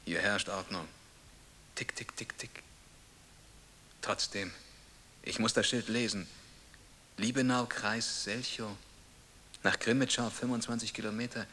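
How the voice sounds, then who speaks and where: An actor playing a bewildered, earnest would-be hero in a radio play, declaiming and brooding. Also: male voice, fairly low pitch, steady tone, unhurried, long pauses, bright, forceful tone. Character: bewildered man, self-proclaimed dead hero, earnest. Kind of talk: German radio play monologue and dialogue. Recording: long reverb, audible hiss.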